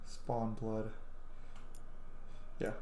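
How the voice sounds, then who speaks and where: A man's voice: on the low side, monotone, very slow, mellow. A man giving casual, blunt, sweary commentary as he plays a video game. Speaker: gamer, video host. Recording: roomy sound with game music behind. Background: video game music.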